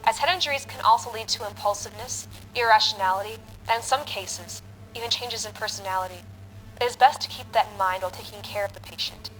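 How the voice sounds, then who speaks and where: A brisk, clinical first-aid broadcaster, played by a woman in a radio drama. She reads instructions quickly and matter-of-factly at a medium pitch. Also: fairly bright tone, lightly acted, poor audio. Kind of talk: audio drama broadcast, scripted reading.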